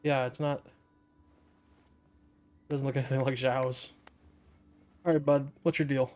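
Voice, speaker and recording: A man's voice: medium pitch, flat delivery, a little slow, darkish tone. A man giving casual gameplay commentary, rambling and often interrupting himself as he thinks aloud. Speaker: gaming video creator.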